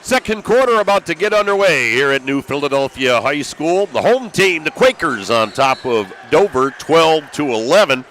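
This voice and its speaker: A man's voice: on the high side, sing-song, normal speed, hardly any pauses, fairly bright tone. An American man doing live play-by-play radio commentary of a basketball game from courtside in a gymnasium. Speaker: play-by-play sports announcer. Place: courtside in a packed school gymnasium. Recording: gym background noise. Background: gym ambience, bouncing basketballs.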